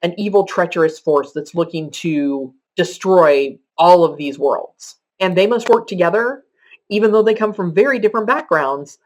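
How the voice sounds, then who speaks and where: A woman's voice: fairly low pitch, normal speed, rough recording. A woman podcaster presenting recommendations in a semi-scripted countdown, conversational and explanatory. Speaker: podcaster giving book recommendations.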